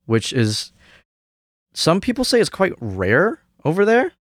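A man's voice: fairly high pitch, huge pitch swings, unhurried, no echo, studio quality. A young man from Hong Kong, a music student and interview guest, answering casually with many hesitations. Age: young adult. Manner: hesitant, many fillers and restarts.

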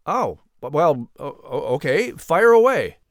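A man's voice: fairly high pitch, big pitch swings, unhurried, no room sound, studio quality. A man speaking as an announcer and voice actor, explaining in a conversational interview style.

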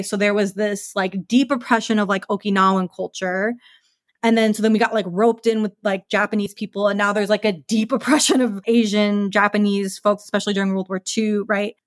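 A woman's voice: mid-range voice, dry recording, clean studio sound. A woman in her thirties, a podcast guest, speaking casually and thoughtfully with frequent "like" and "right?" fillers. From United States.

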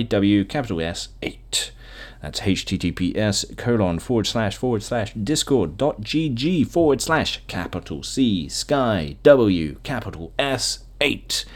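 A man hosting a casual solo podcast, chatting in a rambling, jokey way and often interrupting himself. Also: medium pitch. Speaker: podcast host.

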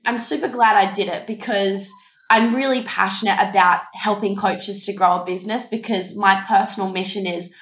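A woman's voice: mid-range voice, steady tone, moderate pace, a bit bright. A young woman who is a business coach, telling her story earnestly and reflectively as an interview guest. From Denmark. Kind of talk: casual interview. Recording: echoey room.

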